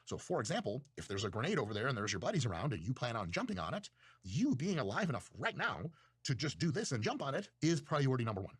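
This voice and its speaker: A man's voice: medium pitch, very animated, fast talker, slightly rough, no room sound. A man from Russia, giving a casual, rambling talk on philosophy in a profane, self-mocking way. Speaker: video creator. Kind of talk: casual monologue lecture.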